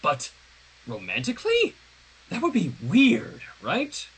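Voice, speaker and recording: A man reads fan fiction aloud as a host. His voice sits high for a man and swings widely in pitch, with a husky grain. He narrates expressively and drops in casual commentary. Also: slow, rough recording. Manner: expressive, acts out dialogue. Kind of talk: dramatic read-aloud of a story with casual commentary.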